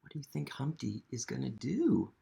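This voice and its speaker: A man reading a picture book aloud to children, gently asking them questions and sometimes dropping to a whisper. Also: mid-range voice, big pitch swings, moderate pace, creaky. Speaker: museum storytime reader.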